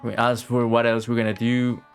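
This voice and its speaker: A man's voice: medium pitch, completely flat delivery, normal speed, clean tone. A man giving casual live game commentary as a streamer, informal and rambling, with playful asides. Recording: game music behind the voice.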